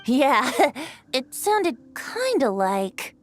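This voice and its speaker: A woman's voice: high-pitched, sing-song, drawn-out words, music in the background, exaggerated voice. A woman voicing a youthful male bard in a video game, playful and breezy, with a theatrical storytelling manner. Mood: amused storytelling. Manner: theatrical, playful, flowery phrasing. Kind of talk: video game character acting.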